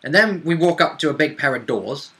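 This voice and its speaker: A man's voice: on the high side, expressive, fairly quick, a bit bright. A man chatting casually as a player looking back on a tabletop game, in a blunt, slangy, offhand way. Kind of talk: casual chat.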